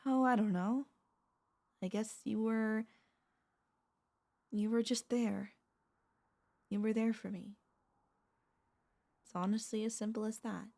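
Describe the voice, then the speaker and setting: A woman playing a possessive, doting royal vampire mistress in an intimate ASMR audio roleplay, speaking in a tender, teasing, halting way with a creaky voice. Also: fairly high pitch, fairly even intonation, mellow. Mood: vulnerable, tender. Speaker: voice actor and vtuber.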